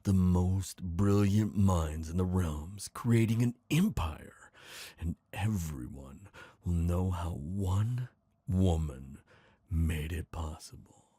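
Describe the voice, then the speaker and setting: A man voicing a smooth, scheming traitor prince, gloating and seductive, in an intimate fantasy ASMR roleplay. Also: low voice, dark tone, no room sound, exaggerated voice. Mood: seductive, admiring. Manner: slow, intimate, theatrical villain delivery.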